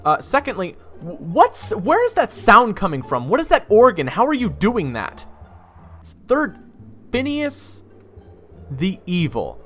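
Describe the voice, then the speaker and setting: A man playing a young army private in a fantasy audio roleplay, casual, halting and dryly sarcastic. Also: sits high, huge pitch swings, soft background music, exaggerated voice. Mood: teasing, incredulous. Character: young army private hero, unimpressed, cheeky and deadpan. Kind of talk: fantasy audio roleplay acting with first-person narration.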